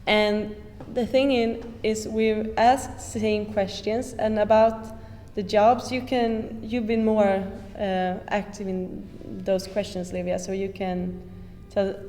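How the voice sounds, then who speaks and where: An eighteen-year-old Swedish woman speaks English as a second language, pausing often on "uh" as she puts a question to the group. Her voice rings in a reverberant hall over faint background noise. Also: medium pitch, level tone, warm tone. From Sweden. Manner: halting, many "uh"s. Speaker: exchange student. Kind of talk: casual group discussion.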